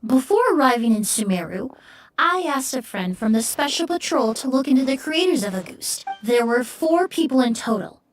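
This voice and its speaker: A woman's voice: sits high, very animated, a little hiss or hum. A woman playing a polite, composed, analytical young perfumer in a video game, speaking formally. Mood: accusing, composed. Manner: formal, measured, courteous.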